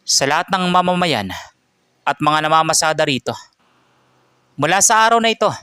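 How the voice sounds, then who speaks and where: A man's voice: on the high side, moderate pace, clear, resonant tone, dry recording, clean studio sound. Man narrating a dramatic story. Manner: dramatic, even reading.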